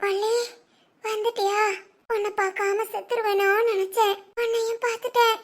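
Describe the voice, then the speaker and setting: A shrill, piercingly high comic mother clings to her fake deathbed. She wails and pleads theatrically, as a woman acts her out, in a broad comedy sketch. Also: level tone, moderate pace. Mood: theatrical pleading. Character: melodramatic middle-aged mother faking her deathbed.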